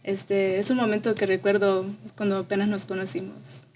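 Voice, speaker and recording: A woman in her twenties from Austin, Texas, giving casual personal testimony as a guest, halting and slipping in English words. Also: medium pitch, little pitch movement, a little mellow, a little hiss or hum. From Austin, Texas, of Mexican parents. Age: 20s. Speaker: podcast guest.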